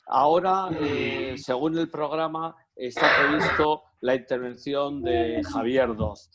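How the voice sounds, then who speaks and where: A man moderating a webinar over a video call, speaking in a measured way with frequent fillers. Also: medium pitch, a loud crowd.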